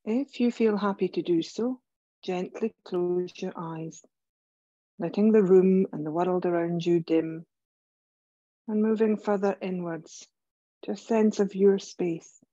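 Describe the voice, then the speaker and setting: A woman leading a guided relaxation meditation as a yoga teacher, gentle and soothing, speaking in measured phrases with pauses. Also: on the low side, fairly even intonation, no echo, highs cut off.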